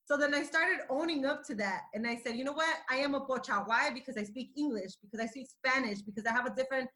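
A woman tells her own story with candor and emphasis, slipping Spanish words into her English. Her voice sits a little high and rings bright. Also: rough recording. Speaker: guest sharing her view. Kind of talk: recorded personal testimony. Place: Tijuana.

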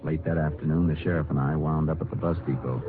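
Deep-voiced, terse lawman on old radio. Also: steady tone, fast talker, music in the background. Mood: matter-of-fact. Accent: American. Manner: terse, probing questioner. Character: calm, authoritative male Texas Ranger investigator. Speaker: lead actor and narrator. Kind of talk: 1950s radio drama acting. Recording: noisy, tinny 1950s radio recording.

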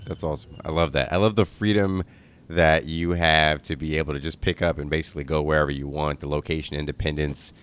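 A man's voice: very deep voice, steady tone, fast, a bit bright, smooth. A man hosting a podcast interview, upbeat and full of praise, with frequent uhs while asking his questions. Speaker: podcast host.

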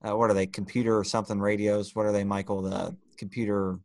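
Male podcast co-host, lowish voice, halting off-the-cuff banter, close dry sound. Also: level tone, no room sound. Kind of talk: casual chat among friends.

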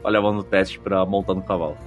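A Brazilian man with a fairly deep voice co-hosts a relaxed podcast. He talks informally, with slang and jokes, while reading out comments. Faint music plays behind him. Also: fast talker. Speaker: podcast host. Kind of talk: casual chat, reading listener comments. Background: background music.

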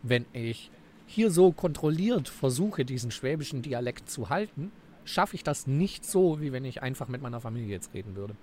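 A man's voice: mid-range voice, sing-song, moderate pace, darkish tone. Swabian man telling stories, faint background hum. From Swabia, Germany. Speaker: podcast guest. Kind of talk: casual chat.

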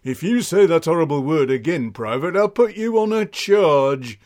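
A man's voice: high-pitched, lively intonation, a little slow, pitched above the performer's own voice. A man voicing a flustered, bossy sergeant made of ice, barking urgently in a comic children's story. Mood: stern. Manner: barking, urgent military delivery. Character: flustered bossy male icicle sergeant, blustering.

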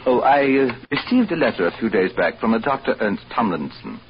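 Pompous boss, lively and condescending. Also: male voice, mid-range voice. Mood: smugly genial. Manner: formal, pompous, deliberate, drily sarcastic. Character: haughty, condescending male hotel boss, testy and needling. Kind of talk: old-time radio drama acting. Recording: dull, noisy old radio recording.

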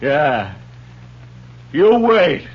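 Husky, vengeful townsman. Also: on the high side, very animated, very slow, heavily acted. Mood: angry, menacing. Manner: confrontational, menacing. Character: angry, accusing Old West townsman out for vengeance. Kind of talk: 1950s radio western acting. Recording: dull 1950s radio recording.

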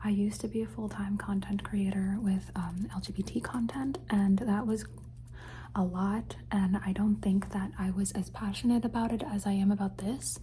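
Whispering woman, mellow and rambling. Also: medium pitch, fairly even intonation, normal speed, slight background noise. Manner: rambling, repeats words softly as triggers. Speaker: ASMR creator. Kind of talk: whispered ASMR Q&A. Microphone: phone.

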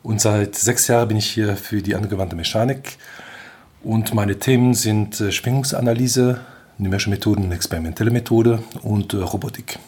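A man's voice: fairly low pitch, moderate pace, darkish tone. Hesitant Belgian French-accented man. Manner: thoughtful, explaining step by step with frequent hesitations. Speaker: professor of applied mechanics. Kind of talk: interview answers.

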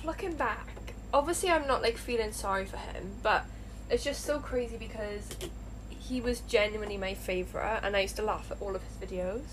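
A young woman with a slight lisp, co-hosting a casual podcast chat while getting ready to go out, chatty and quick to laugh. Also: medium pitch, noisy background. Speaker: podcast co-host.

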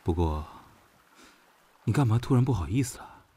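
A man's voice: lowish voice, lively intonation, normal speed, warm tone, a little hiss or hum, lightly acted. A man playing a playful, teasing Alpha general under thirty in a modern audio drama.

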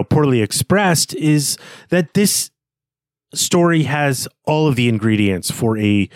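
A man with a middle-pitched voice discusses fiction as a podcast co-host. He talks off the cuff and haltingly, working out his ideas aloud. The sound is dry, with no room echo. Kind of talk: unscripted literary discussion.

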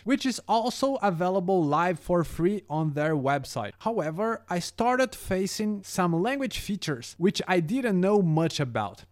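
A man's voice: high voice, a little mellow. Man narrating his language-learning journey. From Brazil. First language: Brazilian Portuguese. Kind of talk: scripted narration.

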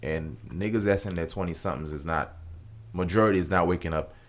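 A man's voice: sits low, quick pace. A young man in his twenties co-hosting a casual podcast, telling loose slangy stories. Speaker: podcast co-host. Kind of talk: casual chat.

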